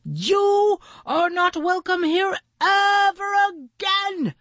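A woman's voice: very high-pitched, animated, very slow, a bit bright, dry recording, voice pushed up, heavily acted. A woman voicing a brave, indignant male mallard hero in a children's story. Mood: stern.

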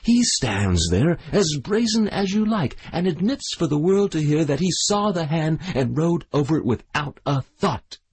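Man putting on a shrill furious widow, high and loud, gravelly, screaming accusations. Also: big pitch swings, measured pace, few pauses, full character voice. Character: shrill furious Irish country widow, mother.

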